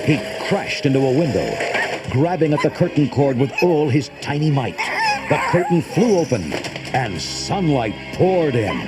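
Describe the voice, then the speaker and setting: A man's voice: a little high, big pitch swings, measured pace. A man reading a children's storybook as narrator, with dramatic, suspenseful delivery. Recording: old cassette tape with music behind.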